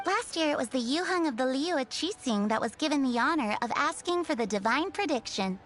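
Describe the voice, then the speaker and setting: A woman's voice: sits high, lively intonation, brisk, fairly bright tone, faint music behind. A woman playing a proud, devout townswoman in a fantasy video game, speaking with assured, lecturing manner. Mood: informative. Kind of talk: scripted video game dialogue.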